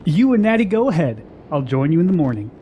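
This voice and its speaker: An actor playing a flippant, defiant pleasure-seeker in a fantasy radio drama, mocking and theatrical in delivery. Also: male voice, a little high, big pitch swings, quick pace, warm tone, a little hiss or hum. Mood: breezy, teasing. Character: flippant, pleasure-seeking, defiant male traveler.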